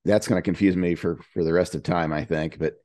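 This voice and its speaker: Very deep, dark-voiced man questioning. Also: steady tone, a little fast, no echo, clean studio sound. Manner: hesitant, puzzled questioning with fillers. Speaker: engineering team member asking questions. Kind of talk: technical meeting discussion. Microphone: video call.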